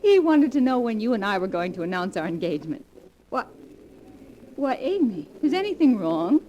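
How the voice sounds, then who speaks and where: An actress playing a possessive, doting young society belle with melodramatic delivery in a 1940s radio drama. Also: female voice, fairly high pitch, big pitch swings, moderate pace, dark tone, highs cut off, a little hiss or hum. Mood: adoring, gushing. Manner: melodramatic, breathlessly theatrical. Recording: old 1940s radio recording, music behind.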